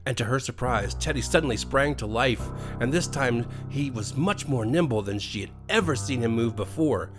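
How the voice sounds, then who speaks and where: An American man narrates a scary bedtime tale with expressive, dramatic delivery. His voice sits at an average pitch, with faint music underneath. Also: moderate pace, few pauses. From USA. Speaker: storyteller, narrator. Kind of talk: scripted bedtime horror story narration.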